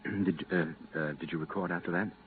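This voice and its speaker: Deep-voiced, grave space captain played by an actor. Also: male voice, steady tone, fast, natural voice. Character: sober space captain, weary authority. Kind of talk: 1950s radio drama acting. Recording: muffled, noisy vintage radio recording.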